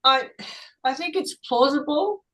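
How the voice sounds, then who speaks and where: A woman's voice: sits high, unhurried, a bit bright. A woman from Germany, a native German speaker, chatting casually and tentatively in a group discussion as she thinks aloud. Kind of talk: casual reflective chat.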